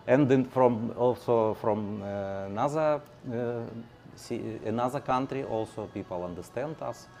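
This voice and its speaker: A male channel host in casual chat, speaking halting non-native English with many fillers and a native Russian background. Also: medium pitch, darkish tone.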